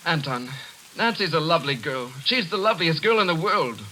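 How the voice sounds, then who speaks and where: A man's voice: fairly high pitch, animated, brisk, bright, forceful tone, poor audio. An actor playing a dejected, tormented young man in love in a 1940s radio drama. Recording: faintly hissy 1940s radio broadcast.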